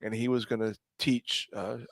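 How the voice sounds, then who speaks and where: A man telling stories casually on a podcast, often halting and searching for his words. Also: on the low side, level tone, no echo. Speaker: podcast co-host. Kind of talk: casual storytelling on a live podcast.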